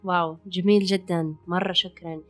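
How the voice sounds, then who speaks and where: Fast-talking Saudi woman podcast co-host. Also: on the low side, level tone, a bit bright, faint music behind. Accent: Hijazi Saudi. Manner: explanatory, sprinkles in English words. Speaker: podcast co-host, holistic physician and wellness coach. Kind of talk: conversational health podcast discussion.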